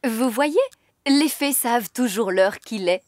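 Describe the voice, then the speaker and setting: A woman's voice: on the high side, animated, a little slow. A woman voicing a grumbling, bossy fairy nanny in a children's cartoon, huffy and haughty.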